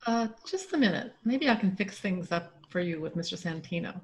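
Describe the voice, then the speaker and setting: A woman's voice: lowish voice, expressive, brisk, noisy background, lightly acted. A woman playing a polite, coy agency receptionist in an old-time radio play. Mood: polite, interested. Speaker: actress in an amateur radio-play reenactment. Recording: remote home recording over video call.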